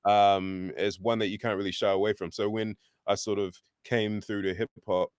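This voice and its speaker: A man of about thirty, born in England and raised in New Zealand, talking in a relaxed, friendly way as a podcast guest. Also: on the low side, moderate pace, clear, resonant tone, no echo, studio quality. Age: 30s. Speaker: rapper, guest. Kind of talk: casual interview over video call.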